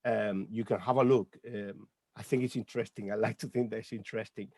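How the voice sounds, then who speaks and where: A man's voice: on the low side, steady tone, fairly quick, warm tone, no room sound, clean studio sound. A man from Spain with a Spanish accent, a poet and educator speaking on an online panel in a hesitant way, with many filler sounds, over a video call. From Lorca, Murcia, Spain.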